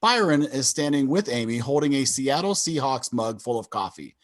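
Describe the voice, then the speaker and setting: A man's voice: medium pitch, animated, normal speed. A man reading scripted stage directions as the narrator of a sitcom table read. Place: indoors, on a video call.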